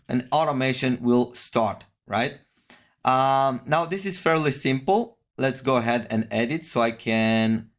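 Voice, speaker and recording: Man presenting a software tutorial. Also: mid-range voice. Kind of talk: informal step-by-step screen-share walkthrough. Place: voice-over for a screen recording.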